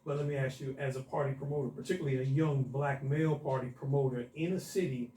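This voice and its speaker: A man hosts a radio talk show, setting up his questions with firm opinions in a measured, deliberate way. His voice is mellow and mid-pitched, and he sounds a bit away from the mic in a roomy studio. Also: steady tone, far from the mic. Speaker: radio host. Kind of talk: radio interview.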